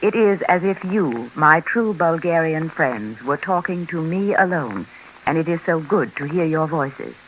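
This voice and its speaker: An earnest man speaks formally in a scripted vintage radio documentary. His voice is on the high side and bright, with a light layer of old recording noise. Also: lively intonation, unhurried, rough recording. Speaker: radio spokesman.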